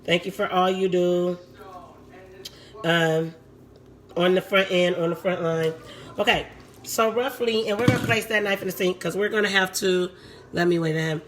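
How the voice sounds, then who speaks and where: A woman with a raspy voice, hosting a casual live cooking demo from her home kitchen and chatting with her viewers as she works. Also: on the low side, steady tone, normal speed, pauses often, a little hiss or hum. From Puerto Rico.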